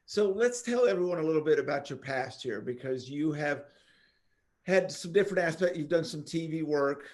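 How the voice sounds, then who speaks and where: A man's voice: fairly high pitch, expressive, normal speed, darkish tone. A man hosting a podcast interview, eager and enthusiastic as he welcomes his guest. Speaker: podcast host.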